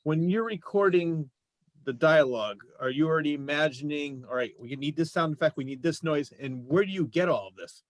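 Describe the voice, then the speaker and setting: A man's voice: on the high side, brisk, dry recording. A man hosting a show, chatting casually as he interviews his guests. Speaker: show host.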